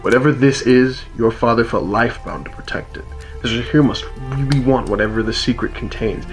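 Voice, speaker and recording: A world-weary fantasy rebel played by a man speaks in a radio drama over music. He is wry and teasing, matter-of-fact about danger, and gruffly protective at a middling pitch. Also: a little fast, lightly acted. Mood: wry, guarded. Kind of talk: fantasy radio theater acting. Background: music under the voice.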